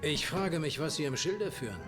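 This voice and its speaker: An actor playing a cocky, defiant American soldier with a wry manner in a war game cutscene. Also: male voice, a little high, animated, moderate pace, over music, lightly acted. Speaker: video game character in cutscenes. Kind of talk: dramatic game cutscene dialogue.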